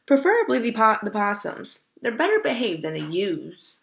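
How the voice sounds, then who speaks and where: A woman's voice: fairly high pitch, huge pitch swings, normal speed, creaky, fairly bright tone, heavily acted. A woman voicing a fussy female skunk in a storybook, prim and critical, complaining about the neighbors.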